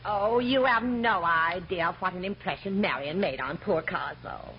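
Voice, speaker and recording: A woman's voice: on the low side, lightly acted. A woman playing a gullible, fluttery middle-aged society wife in an old radio comedy, with a gushing, theatrical manner. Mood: earnest, puzzled. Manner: gushing, theatrical, drawn-out exclamations. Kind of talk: 1940s radio comedy acting. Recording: dull, hissy old radio recording.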